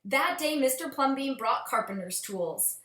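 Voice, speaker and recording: A woman reading a children's storybook aloud as a storyteller, with expressive, measured phrasing. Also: high voice. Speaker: storyteller, teacher. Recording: echoey room.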